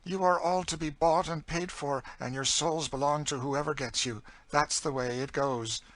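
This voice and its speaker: Man voicing a bitter, world-weary woman, raised pitch. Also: fairly even intonation, moderate pace, fairly bright tone, no echo, natural voice. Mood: bitter, impassioned. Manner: dry, bitter, emphatic. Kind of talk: dramatised audiobook reading of a classic novel.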